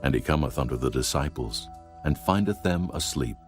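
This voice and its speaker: A man's voice: extremely low pitch, animated, brisk. A man narrating scripture for an audiobook in a solemn, formal way. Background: background music.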